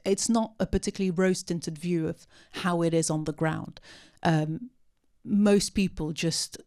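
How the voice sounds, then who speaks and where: A woman speaking unscripted at a post-film discussion, answering audience questions with many pauses, ums and restarts. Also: lowish voice, fairly even intonation, a little mellow. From part Syrian.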